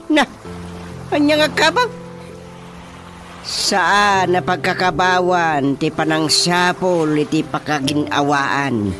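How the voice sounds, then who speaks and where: Actor as stubborn elderly father, Ilocano radio drama: slow, swooping delivery, drawn-out grunts, music behind. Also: on the high side, expressive. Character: stubborn elderly father, set on leaving home.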